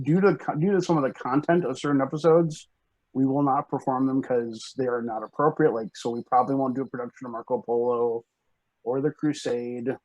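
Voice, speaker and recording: A man's voice: medium pitch, poor audio. A man talking casually on a group video call, hesitant and rambling, with bursts of emphasis. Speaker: panelist, organizer of fan script readings.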